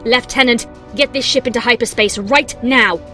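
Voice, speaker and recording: A woman's voice: on the high side, moderate pace, pushed and bright, soft background music. A woman playing an aging, defiant Jedi commander who gives stern, urgent orders in an audio story.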